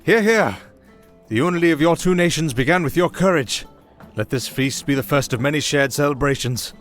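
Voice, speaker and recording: A man acts out a kindly, fatherly archbishop from a fantasy audio drama. He speaks in a formal, measured way with gentle irony, pitched slightly high for a man. Music runs behind the voice. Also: animated. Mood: ceremonial. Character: genial, wry, fatherly archbishop.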